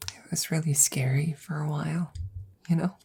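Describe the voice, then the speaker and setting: Woman acting a breathy, whispery, worried scientist friend. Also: sits low, steady tone, moderate pace, heavily acted. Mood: nervous, sheepish. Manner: hesitant, rambling, gently reassuring. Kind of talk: ASMR audio roleplay, close and soft. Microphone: close microphone.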